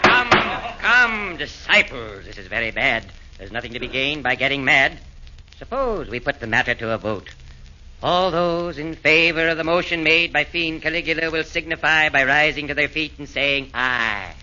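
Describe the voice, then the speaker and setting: A man's voice: fairly high pitch, lively intonation, normal speed, pushed and bright, slight background noise. An actor playing the sly, pompous chairman of a council of fiends in a 1940s radio comedy, speaking in rhymes. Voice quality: pompous, sing-song rhyming. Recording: muffled 1940s radio broadcast.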